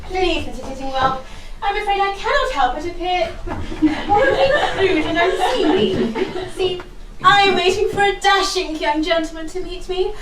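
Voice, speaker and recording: Woman playing a prim young heroine, really high voice, exasperated n dramatic, period comedy, echoey far mic. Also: moderate pace. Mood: politely uncomfortable. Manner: dramatic, polite but aghast. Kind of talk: comic costume-drama stage acting in rhyme.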